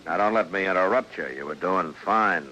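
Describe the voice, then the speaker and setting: Frontier marshal, old radio western: American, very low forceful voice, unflappable, sardonic, deliberate. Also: male voice, muffled recording. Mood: dryly wry. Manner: deliberate, laconic, dry. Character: stern, unflappable middle-aged frontier marshal, dryly sardonic.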